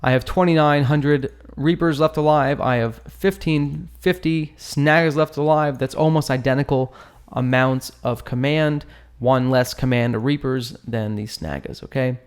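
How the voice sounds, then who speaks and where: A man's voice: mid-range voice, steady tone, measured pace, clean tone. A man hosting a gaming channel, giving casual commentary as he analyses a battle, with informal, slangy, joking asides.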